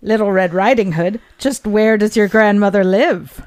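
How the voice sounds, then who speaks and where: A woman voicing a sly, smooth-talking male wolf, in a coaxing, wheedling storybook read-aloud. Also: mid-range voice, moderate pace, darkish tone, a little hiss or hum, pitched above the performer's own voice, lightly acted.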